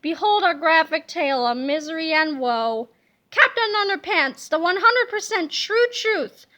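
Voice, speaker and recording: A woman reading a children's comic book aloud in a playful, animated way, slipping into silly voices. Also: extremely high pitch, measured pace. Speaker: reader.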